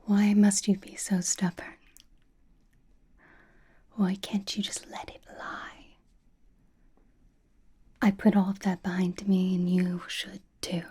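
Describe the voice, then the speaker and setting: A woman voicing a flirtatious, teasing, possessive vampire in a soft ASMR roleplay, whispering breathily close to the microphone. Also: mid-range voice, little pitch movement, slightly rough. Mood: guarded, coaxing. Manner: slow, soothing, coy teasing.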